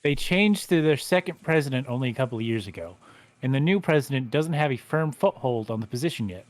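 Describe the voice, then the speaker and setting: A formal, middle-aged lawyer, played by a man delivers grave news stiffly and trips over his words. His voice is lively in pitch. The recording is close and dry. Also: a little fast, no room sound, close to an everyday voice. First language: not Japanese. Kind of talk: fan livedub of a visual novel.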